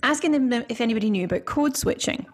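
A woman's voice: fairly high pitch, expressive, fast talker, husky. A woman from Scotland hosting a podcast, talking in a chatty, rambling way with plenty of fillers and restarts. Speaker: podcast host. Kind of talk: casual podcast conversation.

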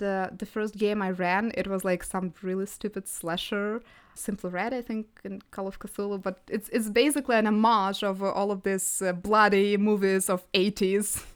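Lady on a podcast, russian speaker, smooth clear voice, mid pitch, chatty with lots of uh's. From Crimea, Ukraine. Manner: animated storytelling, frequent hesitations. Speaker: podcast guest. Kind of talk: casual interview chat.

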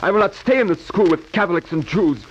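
High-voiced, defiant German schoolboy, old radio. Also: male voice, lively intonation, fast. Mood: defiant outrage. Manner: indignant, stiffly formal speech. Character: defiant, haughty German schoolboy, new in class. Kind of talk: 1940s radio acting. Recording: muffled, crackly 1940s radio recording.

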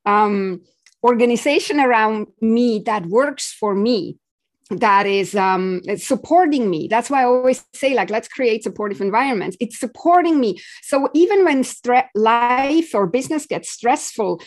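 Female guest from Switzerland; high-ish voice, halting, chatty delivery. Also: expressive. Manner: halting, with restarts and small laughs. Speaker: podcast guest. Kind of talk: casual interview talk.

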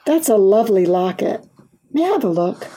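Kindly middle-aged doctor, read by a woman. Calm, courteous, mellow storybook character. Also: medium pitch, normal speed, breathy edge.

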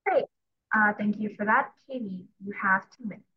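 A woman's voice: mid-range voice, moderate pace, slightly rough, highs cut off. A woman moderating a candidates' debate, reading questions out to the speakers in turn.

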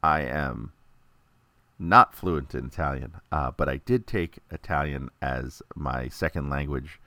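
A man with a very low, deep voice talks in a relaxed, chatty way, filling pauses with "uh" and slipping in small jokes. The sound is dry and close, with almost no room echo. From United States. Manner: chatty, many "uh" fillers, lightly joking. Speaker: toy collector presenting a video. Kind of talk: casual informational monologue.

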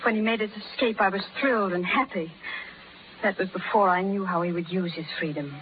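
An actress playing a proud, scornful young gentlewoman with haughty, precise diction in 1930s radio drama style. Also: medium pitch, fairly quick, close to an everyday voice. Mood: bitter, impassioned. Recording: muffled, noisy 1930s radio recording.

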